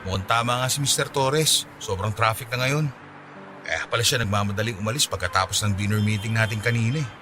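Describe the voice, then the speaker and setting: An actor playing an eager, caring, shyly smitten young office worker in a Filipino radio drama, with a Filipino accent. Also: male voice, lowish voice, fairly quick, over music, natural voice. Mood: friendly, coaxing. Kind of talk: radio drama acting in Taglish.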